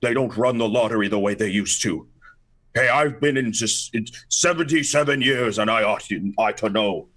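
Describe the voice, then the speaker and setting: A man playing a halting, complaining man in his seventies who clings to old traditions in a radio play. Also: fairly low pitch, a bit bright. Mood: indignant. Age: 70s.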